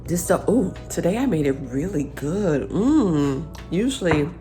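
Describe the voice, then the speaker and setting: A woman in her thirties with a low, congested voice talks slowly and casually, as if chatting across a kitchen table. She pauses often to think things through. Soft music sits faintly underneath. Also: very animated. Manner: reflective, thinking out loud with many ums. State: congested, getting over a cold. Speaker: vlogger, parent. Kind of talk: casual talk to camera.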